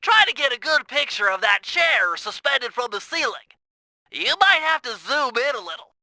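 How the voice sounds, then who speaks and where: A man's voice: very high voice, very animated, normal speed, sharp tone, no room sound, heavily acted. A man voicing a brainy, fussy turtle mission planner in a video game, nasal and precise, explaining things in wordy technical terms. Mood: businesslike, focused. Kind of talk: video game tutorial voice acting.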